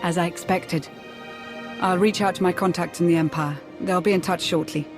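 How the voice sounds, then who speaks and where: A woman playing a composed, loyal advisor in a sci-fi video game, speaking in a grave, measured, articulate way. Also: fairly low pitch, fairly even intonation, lightly acted. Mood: businesslike. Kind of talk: scripted video game dialogue. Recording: music behind the voice.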